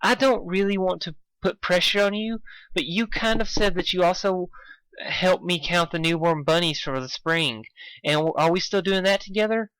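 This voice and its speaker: A shy, soft-spoken mare voiced by a man in a read-aloud cartoon story. She speaks hesitantly, in a raised pitch. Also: moderate pace, clear, resonant tone, no room sound, low-quality recording. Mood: timid. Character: timid soft-spoken female cartoon pony.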